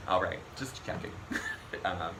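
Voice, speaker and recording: A man's voice: deep voice, takes it slowly, creaky. A man hosting a casual recap chat, talking loosely with lots of ums and frequent laughs. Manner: chatty, laughs often, trails off with ums. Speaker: podcast host recapping a TV show. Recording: noisy room recording.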